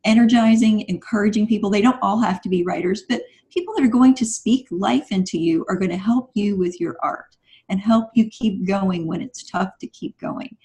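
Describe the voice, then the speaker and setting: A woman's voice: medium pitch, normal speed, dark tone. A woman speaking as a podcast guest, giving motivational advice in an emphatic, instructive and encouraging way.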